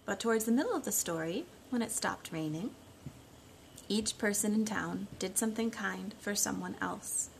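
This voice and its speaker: Woman, 30s, children's storytime teacher, mid-pitched and expressive, simple phrasing, homemade phone recording with light noise. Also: normal speed, vocal fry, poor audio. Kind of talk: storytime read-aloud and talk to young children. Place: living room at home, indoors. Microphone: phone at about arm's length.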